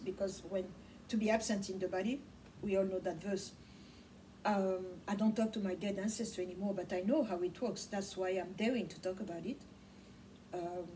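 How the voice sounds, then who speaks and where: A woman's voice: medium pitch, warm tone. A middle-aged woman whose first language is Swahili, preaching to camera in a small home study. She repeats words for emphasis. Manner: impassioned, repeats words for emphasis, gestures a lot. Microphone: camera mic from across the room. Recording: distant mic with faint room noise.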